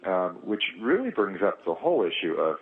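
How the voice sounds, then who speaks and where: Deep-voiced man on a thin phone line. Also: big pitch swings. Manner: halting, hesitant phrasing with pauses. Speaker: eye surgeon, guest speaker on a conference call. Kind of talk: explaining clinical experience on a conference call. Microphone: telephone. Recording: thin band-limited telephone conference line.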